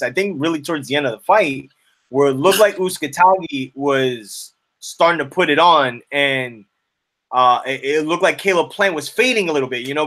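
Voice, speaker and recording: A man hosts a casual boxing discussion. His voice is fairly high and distinctly bright and forward, and he talks in a colloquial, conversational way. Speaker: boxing show host. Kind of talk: casual sports commentary.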